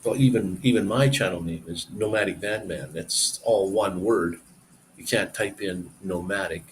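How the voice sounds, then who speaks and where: A man's voice: sits low, normal speed, slight background noise, rough recording. A middle-aged man hosting a casual live stream, rambling as he reads viewer comments aloud and answers them.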